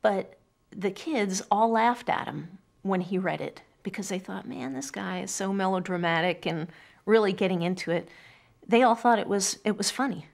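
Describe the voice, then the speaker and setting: A woman's voice: medium pitch, normal speed, vocal fry. A middle-aged woman speaking in an earnest, thoughtful way, reflective as she shares personal words or poetry. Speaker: poetry reader telling family memories. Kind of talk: reflective personal storytelling and poem reading. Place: quiet furnished room indoors.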